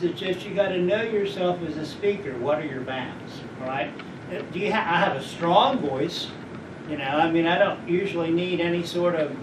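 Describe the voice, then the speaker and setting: A middle-aged man with a fairly high voice speaks to a class in a rambling, anecdotal way and keeps putting questions to the listeners. He is picked up from a distance in an echoey lecture room. A steady hum of background noise runs underneath. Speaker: guest speaker. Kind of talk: informal lecture to students.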